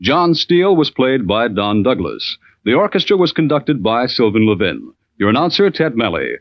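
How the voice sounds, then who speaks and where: A man's voice: mid-range voice, very animated, normal speed. A man making scripted announcements in the style of 1940s radio. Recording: muffled old radio broadcast. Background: music.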